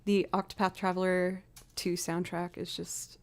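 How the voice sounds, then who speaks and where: A woman with a low alto voice, livestreaming as a musician and chatting casually while she builds loops, often thinking out loud. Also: little pitch movement, measured pace. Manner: chatty, talks aloud to herself.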